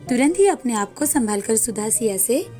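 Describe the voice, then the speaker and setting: A woman's voice: on the high side, very animated, normal speed, dark tone, faint music behind. A woman reading scripted narration for a moral story as its narrator.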